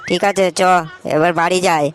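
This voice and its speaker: A man's voice: high voice, steady tone, a little fast, loud music behind the voice, lightly acted. A man voicing a broke, grumbling, booze-loving young slacker in a comic cartoon, dismissive and slangy, with a West Bengal Bengali accent.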